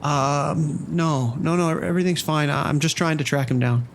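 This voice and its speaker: An actor playing a stressed casino security boss taking charge in a horror audio drama. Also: male voice, fairly high pitch, moderate pace, gravelly, a little hiss or hum. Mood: evasive.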